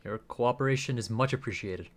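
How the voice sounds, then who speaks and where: A man's voice: mid-range voice, a little fast, heavy background noise, lightly acted. Man playing a formal, procedural investigating agent. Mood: formal, controlled. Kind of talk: recorded interrogation in a radio play.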